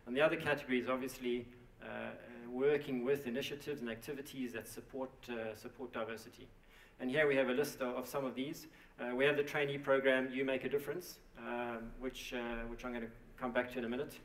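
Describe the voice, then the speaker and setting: A man giving a conference presentation for his company, speaking haltingly with many fillers and restarts. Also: mid-range voice, fairly even intonation. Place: conference hall. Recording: echoey hall, mic at a distance.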